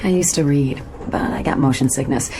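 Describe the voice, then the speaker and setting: An actress playing a polite, bored woman commuter making musing, unhurried small talk in a radio drama. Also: low-pitched, background noise, lightly acted.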